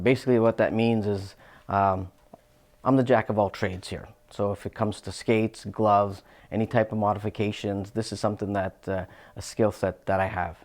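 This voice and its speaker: A middle-aged man speaks in a lowish voice. He answers interview questions in a relaxed, unhurried way, pausing on fillers and restarting his sentences as he explains his craft. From Canada. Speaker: hockey equipment technician.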